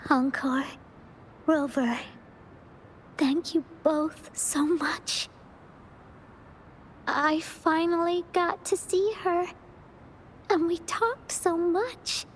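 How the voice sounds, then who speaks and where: Game role: tender young girl. Very high, unhurried, hesitant, heartfelt, faint noise behind. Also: steady tone, heavily acted. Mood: tender, grateful. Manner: soft, hesitant, heartfelt delivery. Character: tender, wistful young girl, shy and sincere. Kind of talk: video game voice acting.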